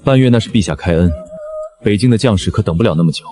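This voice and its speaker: A man playing a brash, teasing young general in an animated period drama, mocking and theatrical in delivery. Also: fairly low pitch, fast, natural voice. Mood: stern, threatening. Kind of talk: Chinese animated web drama voice acting.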